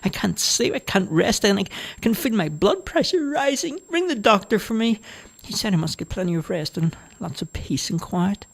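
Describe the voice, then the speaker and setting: A man's voice: sits high, lively intonation, moderate pace, gravelly, pitched above the performer's own voice, heavily acted. A man voicing a demanding, dramatic elderly mother in a storybook reading, whiny and imperious. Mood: complaining.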